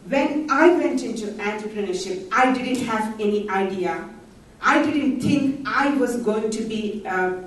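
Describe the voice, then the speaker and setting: A woman's voice: mid-range voice, a little hiss or hum. A middle-aged woman from India, telling her story in earnest at a conference panel. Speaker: panel speaker. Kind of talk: conference talk. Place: large conference hall. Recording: very echoey hall from far off.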